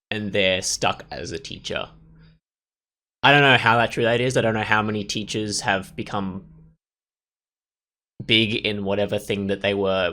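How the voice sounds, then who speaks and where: Low-voiced man rambling through casual game commentary. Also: sharp tone. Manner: rambling, halting, thinks aloud. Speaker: gaming video host. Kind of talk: casual let's play commentary.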